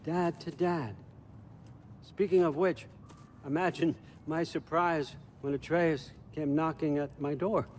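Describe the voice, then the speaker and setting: An actor playing a sly patriarch god in a video game, feigning friendliness with casual, insinuating menace. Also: male voice, a little high, fairly even intonation, a little mellow, slight background noise. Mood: smug, casual. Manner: conversational, insinuating, unhurried. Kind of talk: video game cutscene acting.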